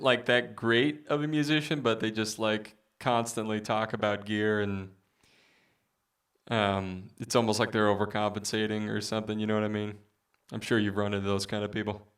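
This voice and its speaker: Chatty baritone male streamer, rambly and loose, low voice heard from some distance. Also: fairly bright tone, smooth. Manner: rambling, chatty, many fillers, reads out viewer comments. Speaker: musician streamer. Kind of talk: casual livestream chat.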